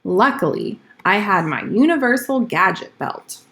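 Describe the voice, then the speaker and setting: Woman reading as an earnest, unhurried schoolboy storyteller. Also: medium pitch, animated, fairly bright tone. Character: schoolboy spinning tall-tale excuses for lateness. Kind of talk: storybook read-aloud.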